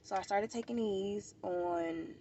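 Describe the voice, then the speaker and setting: A woman's voice: mid-range voice, takes it slowly, dark tone, slight background noise. An American woman vlogger with a stuffy nose, chatting casually and informally to the camera, rambling and repeating herself. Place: outdoors at a park.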